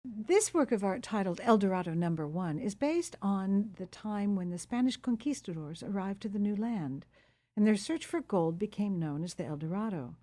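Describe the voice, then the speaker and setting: A woman reads a scripted museum narration in a smooth, even manner. Her tone is mellow and warm, and her voice sits in a middle register. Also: mid-range voice, normal speed.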